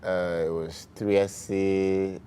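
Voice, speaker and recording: A man with a Nigerian accent, chatting casually as a guest on a sports talk show, relaxed and full of fillers. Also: low voice, slow pace, slight background noise. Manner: relaxed, uses fillers like "you know" and "man". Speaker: studio guest. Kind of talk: casual chat.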